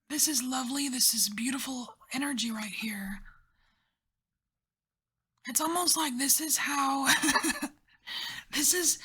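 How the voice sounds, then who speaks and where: Woman tarot reader, whispers throughout, high and airy, rambling and encouraging. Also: sharp tone. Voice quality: whispering, breathy.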